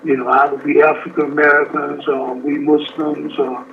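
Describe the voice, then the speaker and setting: Man in his 70s, halting restarts, slightly high voice, tinny phone line, faint hiss. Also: little pitch movement. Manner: slow, halting, with many restarts. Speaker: incarcerated interviewee. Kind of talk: phone interview from prison.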